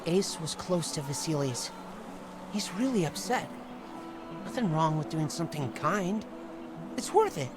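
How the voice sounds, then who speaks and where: An actor playing an earnest, idealistic young Spartan brother, loyal and expressive, in a video game drama. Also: male voice, a little high, a little slow, long pauses, music in the background. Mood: kindly.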